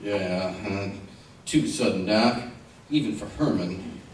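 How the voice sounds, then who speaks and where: A man playing a steady, dry-witted western marshal who talks in a hesitant, folksy way in a radio drama. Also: lowish voice, takes it slowly, slight background noise. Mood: concerned. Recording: distant, very echoey stage-like sound.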